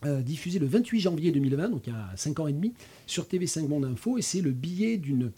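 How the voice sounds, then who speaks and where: A man's voice: fairly high pitch, very animated, fast talker, mellow, husky. A man hosting a radio discussion, hesitant and often stumbling over his words. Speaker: radio host. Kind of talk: casual hosted discussion.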